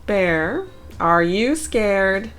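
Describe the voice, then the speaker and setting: A woman's voice: mid-range voice, lively intonation, very slow, fairly bright tone, slight background noise. Woman voicing a plucky storybook rabbit, lilting. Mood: coaxing. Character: plucky, confident female rabbit friend. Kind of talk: children's storybook read-aloud.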